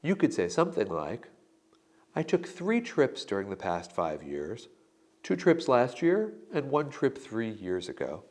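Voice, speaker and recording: A man giving slow, deliberate sample answers in a scripted lesson. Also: mid-range voice, wildly swooping pitch, darkish tone. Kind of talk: scripted instructional narration.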